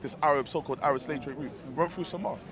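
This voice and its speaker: High-pitched man debating with restarts. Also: a bit bright. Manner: probing, rapid, repeats words. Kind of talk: street debate. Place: outdoor public square.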